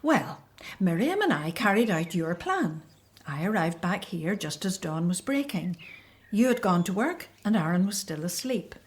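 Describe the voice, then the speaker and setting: A woman's voice: fairly low pitch, very animated, normal speed. An actress playing a loving Hebrew slave mother in a radio drama, telling things earnestly and with feeling. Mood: relieved, excited. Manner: earnest, emotional storytelling.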